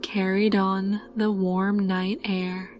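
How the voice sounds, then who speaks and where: A woman narrating a scripted guided sleep meditation in a calm, soothing, unhurried manner. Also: mid-range voice, soft background music.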